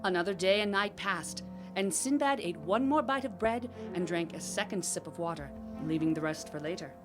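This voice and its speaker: Actress as lowish-voiced storybook narrator. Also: female voice, normal speed, music in the background, natural voice. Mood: measured. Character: female storybook narrator, measured and serious. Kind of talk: scripted radio drama narration. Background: musical score.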